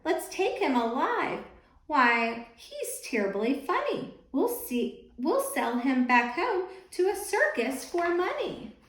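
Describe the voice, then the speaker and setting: A woman voicing a group of eager, greedy male hunters who talk in an excited, scheming way, recorded in a very echoey room. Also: sits high, slow pace, voice pushed up, full character voice.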